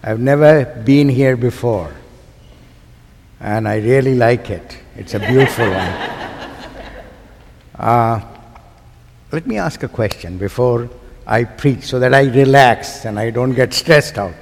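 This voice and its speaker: A man with a Pakistani accent preaching a sermon to a congregation, using emphatic, deliberate phrasing and putting rhetorical questions to his listeners. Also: medium pitch, vocal fry. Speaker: guest preacher. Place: large church sanctuary. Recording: long hall reverb of a church sanctuary.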